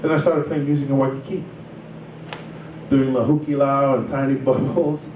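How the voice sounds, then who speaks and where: A man's voice: medium pitch, little pitch movement, normal speed, highs cut off. A man in his thirties, from Samoa, casually telling his life story in a loose, rambling way full of "you know" and "like". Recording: roomy distant mic with noise.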